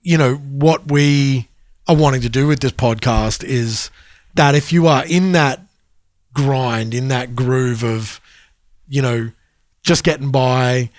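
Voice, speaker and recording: A man in his forties co-hosting a podcast, chatting easily and backing his partner up with quick yeahs. The sound is dry and close-miked with no room echo. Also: mid-range voice, steady tone. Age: 40s. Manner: agreeable, chatty, quick affirmations, laughs easily. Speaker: podcast co-host. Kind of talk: casual chat.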